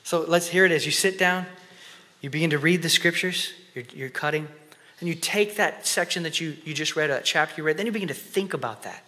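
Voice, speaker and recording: A man in his thirties preaching on a church stage, swinging between loud emphasis and hushed, whispered prayer. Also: on the high side, a little fast. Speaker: conference speaker. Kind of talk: sermon. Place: church stage before an audience. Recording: long reverb of a church hall.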